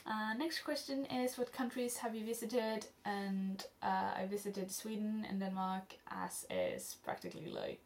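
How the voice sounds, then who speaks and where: A teenage girl from Norway speaks English with a Norwegian accent, her mid-pitched voice full of vocal fry. She rambles through viewer questions in a casual vlog, with plenty of ums and little laughs. She is recorded up close on a camera at home. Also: expressive, a little slow, few pauses. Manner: rambling and chatty, many ums and restarts, laughs often. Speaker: studyblr vlogger answering questions.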